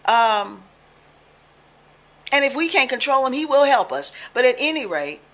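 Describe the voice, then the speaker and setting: A middle-aged American woman giving an informal religious talk to camera, conversational and deliberate, with emphatic check-ins to the listener. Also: high voice, big pitch swings, fairly bright tone. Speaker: religious video blogger. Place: small living room, indoors on a couch.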